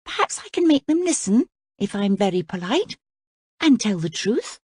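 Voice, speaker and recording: A woman's voice: mid-range voice, big pitch swings, normal speed, a little mellow, slightly rough, no room sound, clean studio sound, pitched above the performer's own voice. A woman piping up as a prim little princess. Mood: scolding. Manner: earnest, proper, scolding. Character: prim, polite young princess girl.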